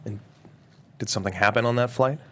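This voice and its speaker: A man's voice: mid-range voice, a little fast, sharp tone, a little hiss or hum, natural voice. A man playing an irritable, skeptical businessman in his thirties in a table read of a thriller script. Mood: guarded, questioning.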